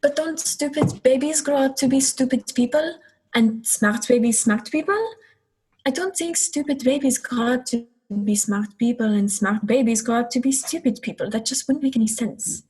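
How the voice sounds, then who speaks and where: A woman's voice: high voice, moderate pace, darkish tone, husky, poor audio. A young woman playing a plaintive, questioning girl, an older sister, in a radio play. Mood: puzzled, questioning. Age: young adult.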